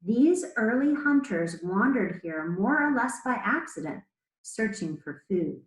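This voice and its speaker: A woman speaking as an elementary school teacher, reading aloud to children in a measured, explanatory way with pauses between phrases. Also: on the high side, very animated, roomy sound, rough recording. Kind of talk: read-aloud lesson to children.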